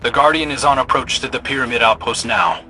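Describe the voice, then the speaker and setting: An actor playing a wary, steady field operative in a video game, guiding in a terse, dry, conversational way. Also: male voice, mid-range voice, a little fast, faint music behind, poor audio. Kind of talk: scripted video game dialogue.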